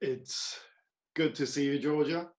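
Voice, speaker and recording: A woman's voice: low-pitched, fairly even intonation, unhurried, darkish tone. A woman playing an easygoing, flirty film buyer in a conversational table read over a video call. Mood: easy, teasing. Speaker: actor in a screenplay table read. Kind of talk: conversational script reading.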